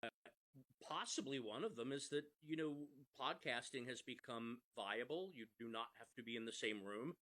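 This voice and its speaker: A man's voice: mid-range voice, expressive, moderate pace, fairly bright tone. A man explaining things as an interview guest, repeating phrases for emphasis. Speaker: podcast creator, interview guest. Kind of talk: conversational interview.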